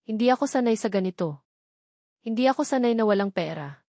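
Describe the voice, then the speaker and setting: A woman's voice: on the high side, lively intonation, a little fast, warm tone, dry recording, studio quality. A woman voicing an earnest, burdened young father who struggles to provide for his family in a narrated love story. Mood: worried.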